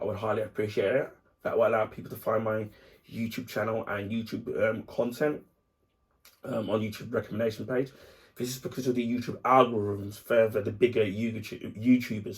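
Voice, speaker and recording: A low-voiced man talks through a clothing buy for his channel. His voice is husky and a little croaky from the flu, and he often stops to clear his throat or restart a phrase. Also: darkish tone. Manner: hesitant, many ums and restarts, frequent throat clearing. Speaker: YouTuber. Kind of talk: casual product review vlog.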